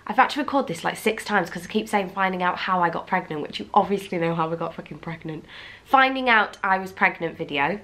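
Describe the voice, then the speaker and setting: A young woman from England talks to her camera in a loose, candid way, full of fillers and restarts. Her nose is blocked and she sounds fluey. Her voice sits fairly low for a woman. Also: animated, fairly quick, a bit bright. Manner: chatty and candid, frequent fillers and restarts, sometimes swears. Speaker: vlogger. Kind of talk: casual chat to camera.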